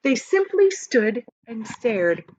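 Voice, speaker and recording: A woman's voice: a little high, big pitch swings, takes it slowly, darkish tone, a little hiss or hum. A woman teacher reading a story aloud to children, stressing descriptive words and explaining things in casual asides. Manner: explanatory, addresses young listeners, emphatic on descriptive words.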